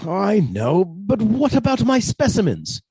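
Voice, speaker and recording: An actor playing a meek, henpecked professor, mild and deferential, in a suspense radio play. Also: male voice, high voice, wildly swooping pitch, a little slow, dark tone, slightly rough, no room sound, heavily acted. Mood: meek, resigned. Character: meek, henpecked British professor husband, quietly fussy.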